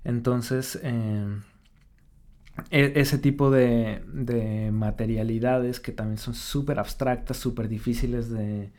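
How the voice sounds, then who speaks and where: Slow, halting Mexican man thinking aloud. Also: mid-range voice, steady tone, darkish tone. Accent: Mexican Spanish. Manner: halting and thoughtful, many fillers and restarts, frequent tag questions. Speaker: artist guest. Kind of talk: reflective interview talk.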